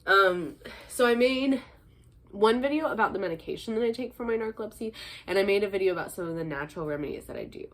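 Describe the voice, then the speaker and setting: A woman's voice: medium pitch, lively intonation, fast. A young woman with a stuffy head cold, chatting casually to camera with frequent ums and small laughs. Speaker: vlogger, teacher and fitness instructor.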